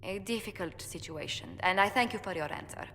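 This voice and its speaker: An actress playing a tactful, courteous diplomat in a video game, speaking carefully and formally. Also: female voice, mid-range voice, a little fast, sharp tone, close to an everyday voice. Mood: polite, businesslike. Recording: reverberant hall, faint background noise.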